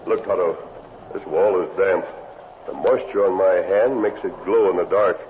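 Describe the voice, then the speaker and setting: An actor playing a calm, resolute masked western lawman in a 1950s radio adventure, speaking in formal, precise sentences. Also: deep voice, normal speed, natural voice. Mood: calm, explanatory. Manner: formal, precise, explanatory diction. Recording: muffled, noisy old radio broadcast recording.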